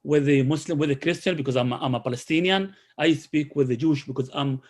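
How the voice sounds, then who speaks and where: Man, slightly high voice, halting delivery full of restarts; presents community programs over a call. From Israel. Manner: halting, many restarts and fillers.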